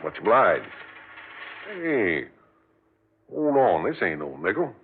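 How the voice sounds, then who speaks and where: An actor playing a chatty, good-natured roadside coffee seller in an old-time radio drama, drawling and colloquial, with a rural American accent. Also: male voice, lowish voice, big pitch swings, slow, phone-quality sound, slight background noise, full character voice. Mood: puzzled, insistent. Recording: thin band-limited vintage radio recording.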